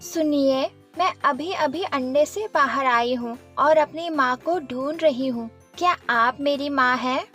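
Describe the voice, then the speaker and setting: A woman voicing an eager, innocent newly hatched chick in a children's animated story, childlike and earnest. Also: high voice, unhurried, hardly any pauses, soft background music, pitched above the performer's own voice. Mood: hopeful, polite.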